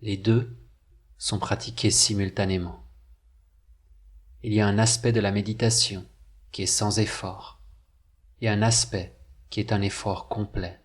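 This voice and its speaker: A man narrating a scripted guided meditation, with measured, deliberate phrasing and pauses. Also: fairly low pitch, flat delivery, breathy edge.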